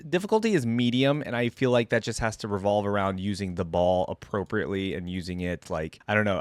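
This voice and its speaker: A man's voice: lowish voice, dry recording. A man hosting a reaction video, talking casually and thinking aloud as he reads and comments in a conversational way.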